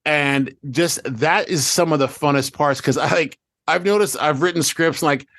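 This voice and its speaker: Animated man chatting, close dry sound. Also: on the high side, moderate pace, no room sound. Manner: animated, rambling storytelling, acting out remembered remarks. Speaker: podcast host and showrunner of an audio drama. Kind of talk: casual chat looking back on a show's season.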